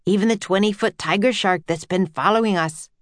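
A woman's voice: medium pitch, a little fast, dry recording, close to an everyday voice. A superstitious old seaman, as a woman reader puts him on for a children's story. He speaks dramatically in archaic sailor talk, as if every word is a warning, in a dry recording. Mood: dramatic warning. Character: superstitious old-time sailor man, dramatic teller of monster tales. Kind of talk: children's audiobook character voice.

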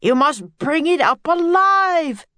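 An urgent storybook professor, a man played by a woman reader, pleading at a raised pitch. The recording is dry. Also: expressive, slow, pushed and bright, no room sound, exaggerated voice. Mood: begging.

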